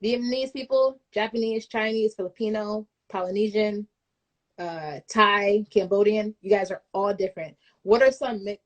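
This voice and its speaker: A woman's voice: mid-range voice, poor audio. Talk show host, woman, upbeat and chatty, joking with her guest. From United States. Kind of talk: casual live-stream talk show.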